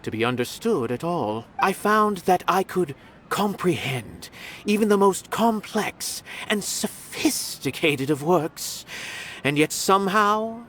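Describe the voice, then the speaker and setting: An actor playing a middle-aged, worldly art connoisseur in a video game, speaking in a measured, formal and lofty way. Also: male voice, high voice, expressive, fairly bright tone, a little hiss or hum, full character voice. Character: worldly male art connoisseur, grave and refined. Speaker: former stage performer turned art critic. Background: game music.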